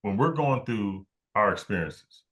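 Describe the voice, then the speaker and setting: A man with a low, deep voice talks as a podcast host in a casual interview. His delivery is halting, and he often repeats words while he works out his thought. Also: expressive, a bit bright.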